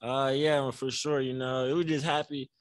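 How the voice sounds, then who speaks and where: A young man, a college basketball player, answering reporters at a postgame press conference in an easygoing, soft-spoken way with many fillers, into a table mic. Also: mid-range voice, level tone, moderate pace, no room sound. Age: young adult. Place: press room before a banner. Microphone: table mic on a stand.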